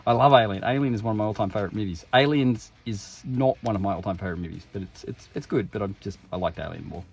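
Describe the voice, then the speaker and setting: A man's voice: fairly low pitch, quick pace, vocal fry, slightly rough. A man hosting an unboxing video with casual, informal commentary, full of jokey asides and self-interruptions. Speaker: host of tabletop game unboxing videos.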